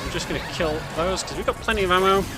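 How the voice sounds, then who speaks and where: A man's voice: high-pitched, fast, a crowd in the background. A man giving casual, slightly hesitant gameplay commentary as a speedrunner, often correcting himself as he goes. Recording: game music behind voice. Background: game music and sounds.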